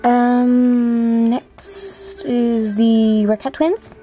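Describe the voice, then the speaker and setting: A woman's voice: fairly high pitch, little pitch movement, very slow, dark tone, soft background music. A woman chatting casually and apologetically to online viewers as an amateur voice auditioner. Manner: self-deprecating, apologetic.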